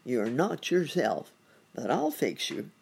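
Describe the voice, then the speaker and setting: A storyteller voicing a kindly male bear dentist from a storybook, calm and reassuring in manner. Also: medium pitch, slow pace, vocal fry, husky, heavily acted. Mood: firm.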